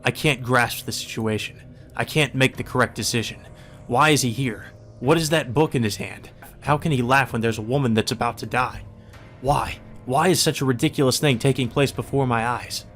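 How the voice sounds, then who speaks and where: A man's voice: mid-range voice, normal speed, fairly bright tone, faint music behind. A man reading visual novel narration aloud in a dramatic first-person storytelling style for a let's play.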